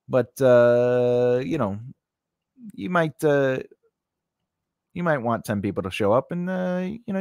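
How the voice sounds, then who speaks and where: A man's voice: medium pitch, dry recording. A middle-aged man hosting an informal lesson into a close studio microphone, rambling conversationally with blunt asides and anecdotes. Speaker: host teaching scriptwriting and casting. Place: indoor home studio, close and dry. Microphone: large studio microphone on boom arm, close.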